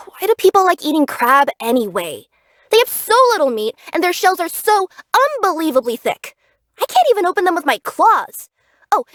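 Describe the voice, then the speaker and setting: A woman's voice: very high-pitched, fairly bright tone, no echo, clean studio sound, full character voice. A woman voicing a cheerful, curious young female cat yokai who works as a package courier in a video game, earnest and bubbly in manner. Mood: grumbling, complaining. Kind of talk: English video game character voice acting.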